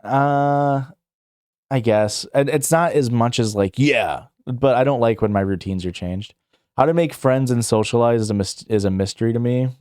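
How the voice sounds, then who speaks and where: A man's voice: medium pitch, moderate pace, a little mellow, clean tone, dry recording. Young guy streamer rambling thru a quiz, lots of likes and ums, dry close sound. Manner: rambling, filler-heavy, self-correcting, chuckles. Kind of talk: casual live-stream chat, reading questionnaire items aloud and answering.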